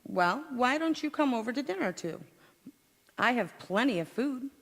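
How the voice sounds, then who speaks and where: Actress as flustered sitcom housewife, old-time radio style, swooping lively intonation, ringing hall. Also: female voice, fairly high pitch, moderate pace, gravelly. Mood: breezy, smug. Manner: theatrical, exclamatory. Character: flustered, chirpy 1940s housewife in a radio comedy. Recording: echoey hall, live stage performance.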